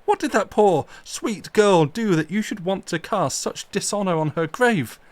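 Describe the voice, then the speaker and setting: A man's voice: high-pitched, lively intonation, measured pace, darkish tone, dry recording, voice pushed up. A man doing an anguished young nobleman. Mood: outraged. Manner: impassioned, exclaiming.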